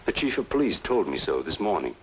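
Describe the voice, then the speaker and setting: An actor playing a grave, formal doctor, deliberate and insistent, in an old-time radio noir drama. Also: male voice, sits low, big pitch swings. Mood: grave, cautioning. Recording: dull, noisy old radio recording.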